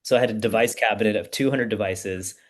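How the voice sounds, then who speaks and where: Man in his thirties, startup founder, easygoing storyteller, lots of ums, slightly low voice. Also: quick pace. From United States. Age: 30s. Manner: relaxed storytelling with frequent fillers. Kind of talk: casual podcast interview.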